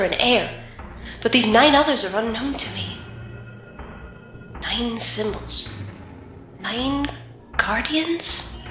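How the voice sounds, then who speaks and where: A woman's voice: on the high side, bright, forceful tone, a little hiss or hum. A woman playing a young adventurer in a fantasy audio drama, bookish and earnest, speaking and narrating in formal storytelling diction. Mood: pondering. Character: shaken but curious young woman adventurer, bookish, romantic.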